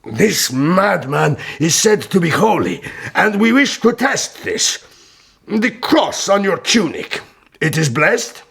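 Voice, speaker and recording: Shrewd sultan for historical radio drama: high-pitched and hugely animated, courtly, gravelly. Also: male voice, exaggerated voice. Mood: courteous, probing. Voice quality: raspy, gravelly. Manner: courtly, deliberate, theatrical.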